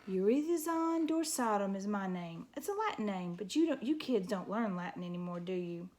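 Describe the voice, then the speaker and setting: A woman's voice: medium pitch, expressive, moderate pace, a little mellow, lightly acted. A woman voicing a grumpy old male porcupine who bellows and screeches scornful insults in a storybook reading.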